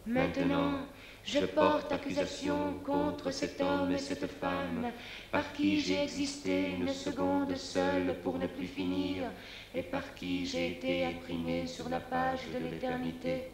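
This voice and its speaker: Accusing shadow spirit, high, echoing. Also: female voice, very animated, over a noisy crowd, heavily acted. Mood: indignant, wounded. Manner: solemn, declamatory, slow measured delivery. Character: accusing double shadow, a formless anguished spirit. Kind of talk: theatrical poetic monologue from a film.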